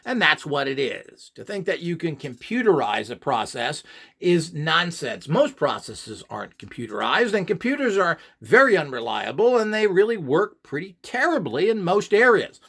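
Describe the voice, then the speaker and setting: Male instructor with a slightly high, highly animated voice; dismissive, ranting delivery. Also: moderate pace, gravelly. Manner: scornful, ranting, full of rhetorical questions. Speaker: radionics instructor. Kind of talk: opinionated instructional monologue.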